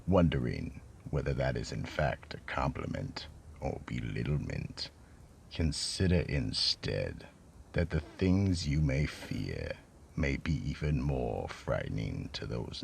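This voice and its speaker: Casting: male actor as an ominous horror narrator and host; gravelly, slow, with lively swoops in pitch. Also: slight background noise, exaggerated voice. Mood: sinister, relishing. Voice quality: deep, gravelly. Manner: ominous, deliberate. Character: sinister, relishing horror-show storyteller. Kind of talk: theatrical scripted horror narration.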